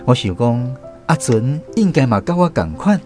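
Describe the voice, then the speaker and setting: A Taiwanese man tells a story in the first person as a radio drama narrator. His pitch moves in a lively way at a middling height, over faint music. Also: moderate pace.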